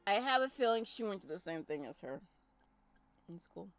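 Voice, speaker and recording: A woman's voice: medium pitch, very animated, moderate pace, faint music behind. A woman streamer giving casual, chatty commentary while playing a visual novel.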